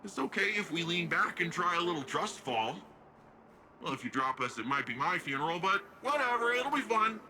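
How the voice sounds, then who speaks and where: An actor playing a cocky, playful young hero in a video game, talking in casual, stop-start asides. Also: male voice, high voice, big pitch swings, normal speed, sharp tone, slight background noise, exaggerated voice. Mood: breezy, playful.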